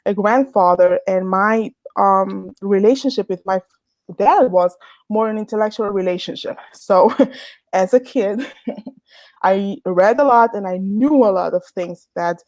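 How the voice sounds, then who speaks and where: A woman's voice: mid-range voice, a little slow, a little mellow, dry recording. A woman from the Dominican Republic, a guest in a heated casual debate, who talks with animation, laughs mid-sentence and restarts words.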